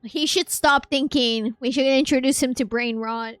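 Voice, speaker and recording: A woman's voice: high-pitched, fairly even intonation, normal speed, fairly bright tone, no echo. A woman streamer giving playful, casual live game reactions, often repeating words and phrases. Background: game audio and music.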